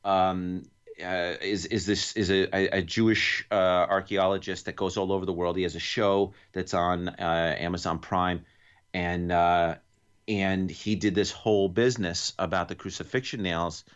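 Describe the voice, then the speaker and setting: A man with a New York accent chatting casually as a podcast co-host, telling stories in a halting, rambling, jokey way. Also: sits low, flat delivery, smooth, muffled recording. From New York, United States.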